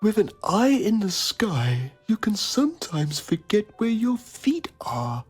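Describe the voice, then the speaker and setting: Guy doing a tv journo in a game, solemn and self-important, raspy-soft voice, music under him. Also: high-pitched, very animated, slow, full character voice. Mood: grave, pompous. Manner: solemn, melodramatic. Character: pompous male investigative TV documentary journalist. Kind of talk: mock-documentary scripted narration.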